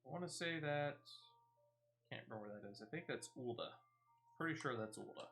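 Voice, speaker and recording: Man, mid-pitched voice, casual streaming commentary, playful, soft game music behind. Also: animated, fairly quick.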